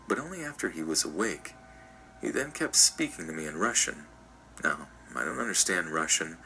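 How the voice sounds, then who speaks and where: A man reads a first-person story with an extremely deep voice. His tone is sharp and bright, and his delivery is even and measured. A faint hiss runs underneath the recording. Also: rough recording. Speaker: story narrator.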